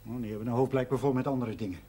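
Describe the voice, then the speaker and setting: An actor playing a flustered, lovesick choirmaster in a television drama, pleading theatrically and stammering. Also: male voice, mid-range voice, fairly even intonation, very fast, warm tone, natural voice. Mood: fretful.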